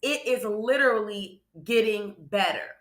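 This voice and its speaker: Bright, emphatic woman preacher in a roomy space. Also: medium pitch, unhurried. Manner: emphatic, repeats phrases, checks in with listeners. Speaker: guest preacher hosting a morning livestream. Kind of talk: motivational sermon to a live online audience. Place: indoor room on a livestream. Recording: roomy, echoing livestream audio.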